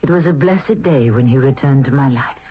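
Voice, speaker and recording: A woman's voice: a bass voice, moderate pace, slightly rough, soft background music. An actress playing a proud, grieving Egyptian queen and mother with a solemn, dramatic manner in 1970s radio drama. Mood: wistful. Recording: muffled vintage radio broadcast.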